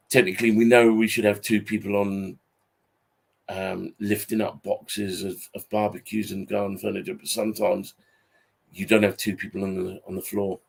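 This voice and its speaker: A British man in his forties with a husky, fairly flat delivery. He rambles casually in a grumbling, weary way, often stopping and repeating himself as he goes. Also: fairly low pitch. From Britain. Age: 40s. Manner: halting, repetitive, grumbling. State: tired. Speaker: YouTube channel host. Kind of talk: casual unscripted monologue.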